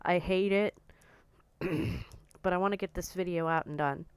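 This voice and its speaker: A woman in her forties talking casually to camera in a blunt, outspoken way, her voice a little croaky and hoarse. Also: fairly low pitch, measured pace, dry recording. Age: 40s. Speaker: vlogger.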